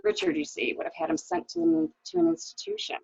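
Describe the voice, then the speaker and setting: A woman's voice: very high-pitched, very animated, fast, gravelly, darkish tone, dry recording, lo-fi sound, heavily acted. A woman playing a tense, evasive wife suspected of murder, in an amateur dramatic reading of a mystery. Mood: fond, anxious. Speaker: library staff reader.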